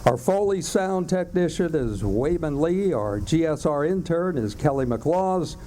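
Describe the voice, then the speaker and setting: Mellow-voiced male radio theatre announcer. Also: fairly high pitch, lively intonation, unhurried, a little hiss or hum. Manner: formal, presentational. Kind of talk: scripted closing announcement.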